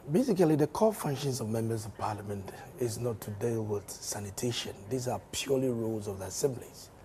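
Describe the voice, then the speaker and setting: A hoarse man with a Ghanaian accent, a member of parliament speaking in a studio interview, measured and given to repeating words as he explains at length. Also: medium pitch, expressive, dark tone, a little hiss or hum. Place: television studio.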